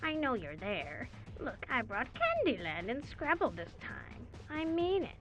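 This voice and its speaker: Lady doing needy psycho clown villain, high swoopy voice, wheedling and fake innocent, radio play. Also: wildly swooping pitch, unhurried, fairly bright tone, faint music behind, heavily acted, poor audio. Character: needy, gleefully psychopathic clownish male supervillain. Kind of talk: superhero parody radio play.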